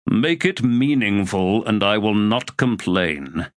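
A man's voice: fairly low pitch, lively intonation, takes it slowly, no echo, studio quality. A man voicing Torrent, a weary and defiant young male warrior, in an audiobook reading.

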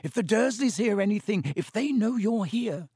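A man's voice: fairly high pitch, very animated, moderate pace, no room sound. A well-meaning young boy, played by a male narrator, in a slightly raised voice with a close, dry sound. He is polite and hesitant, puzzled but earnest, in storybook dialogue. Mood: urgent, sympathetic. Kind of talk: audiobook character voice.

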